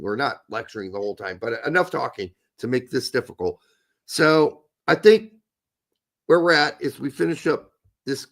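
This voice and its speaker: A man co-hosting a casual podcast chat, hoarse with his voice going, blunt and opinionated with some swearing. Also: medium pitch, fairly bright tone. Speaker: co-host.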